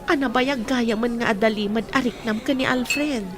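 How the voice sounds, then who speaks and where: High-voiced, earnest young Filipino woman played by an actress. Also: normal speed, natural voice. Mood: earnest, apologetic. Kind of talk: audio drama acting. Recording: faint background noise.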